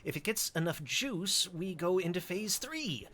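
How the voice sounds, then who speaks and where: A man's voice: high voice, lively intonation, a little slow, sharp tone, exaggerated voice. An actor playing an eager young male college student who explains a computer simulation in a radio-play style reading. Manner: casual, explaining, hopeful.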